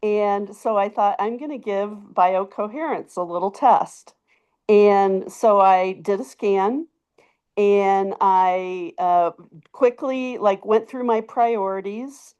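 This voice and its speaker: A woman's voice: medium pitch, slow, darkish tone, clean tone, dull audio. Woman telling a casual personal story. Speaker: webinar participant giving a testimonial.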